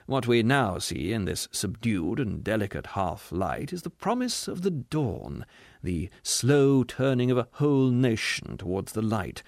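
A man voicing a fat, smug, cultured gentleman from a storybook, speaking in a pompous, refined and condescending way. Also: medium pitch, gravelly, dry recording, clean studio sound, full character voice.

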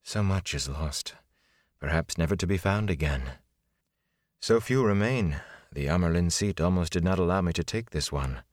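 A man voicing a composed, weary sorceress who speaks in a measured way. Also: sits low, animated, no echo, studio quality. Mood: grave. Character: calm, weary female sorceress.

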